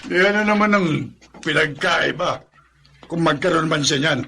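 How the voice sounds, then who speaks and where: A man's voice: fairly high pitch, normal speed, sharp tone, slight background noise. A man acting in a radio drama as a bitter, frustrated middle-aged father who grumbles in exasperation. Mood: bitter, scornful.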